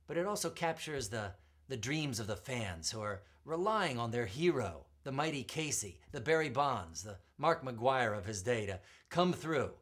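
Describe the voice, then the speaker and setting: A man performing as a poetry reader, with a theatrical, emphatic storytelling delivery and drawn-out words. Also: medium pitch, expressive, fairly bright tone. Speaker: performer reading poetry aloud. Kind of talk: dramatic poetry recitation with spoken introduction.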